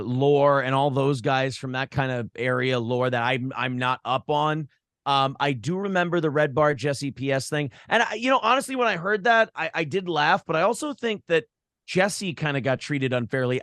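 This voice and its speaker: Higher-pitched man in blunt podcast chat. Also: fairly bright tone, no room sound, studio quality. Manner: blunt, profane. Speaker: podcast host being interviewed. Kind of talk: casual opinionated chat.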